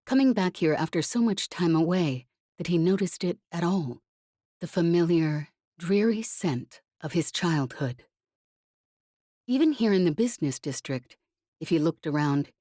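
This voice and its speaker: Female audiobook narrator, low and soft-edged, close dry sound, scripted fiction. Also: no echo.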